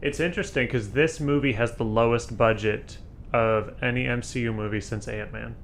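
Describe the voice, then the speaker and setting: A man co-hosting a casual podcast with a dry, monotone delivery. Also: mid-range voice, moderate pace, vocal fry, a little hiss or hum. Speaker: podcast co-host.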